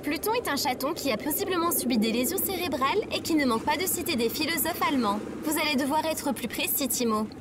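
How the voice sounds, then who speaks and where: A prim female AI sidekick from a comedy audio drama, played by a woman, with a high voice over noisy ambience. She is formal and precise, delivering everything with deadpan sarcasm. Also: quick pace, few pauses. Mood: dry, sardonic. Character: prim sardonic flying female AI companion.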